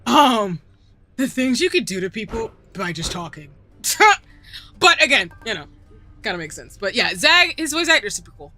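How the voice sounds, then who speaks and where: A young woman with a Southern drawl chats on a gaming stream, giggling often and rambling through filler words. Her voice is bright and bouncy, leaping between high and low notes, with faint music playing behind her. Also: very animated, moderate pace. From southern United States. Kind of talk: casual live-stream chat while gaming. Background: faint background music.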